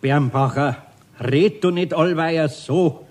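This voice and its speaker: A man's voice: fairly high pitch, takes it slowly. An actor playing a good-natured, grumbling middle-aged master craftsman with a Bavarian accent in a radio play. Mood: exasperated. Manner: hesitant, grumbling. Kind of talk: 1970s German radio play.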